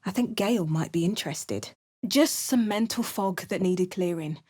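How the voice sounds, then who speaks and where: A woman's voice: fairly low pitch, moderate pace, darkish tone, slightly rough. An actress voicing a female fantasy adventurer hero in game voice lines, with a put-on Cockney accent and a dry, muttering way of commenting. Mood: wry. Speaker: player character.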